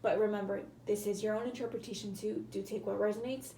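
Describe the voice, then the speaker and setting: A woman with a hoarse, cracking voice, giving a casual tarot reading to viewers and often apologizing. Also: medium pitch, steady tone, fast talker, warm tone, reverberant, slight background noise, poor audio. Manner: chatty, apologetic, hedging with fillers. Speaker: tarot reader.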